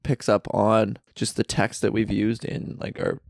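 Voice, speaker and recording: A man co-hosting a casual podcast from a friend's basement, bantering and joking quickly. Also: fairly low pitch, flat delivery, vocal fry, no room sound, studio quality. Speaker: podcast co-host. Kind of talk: casual chat.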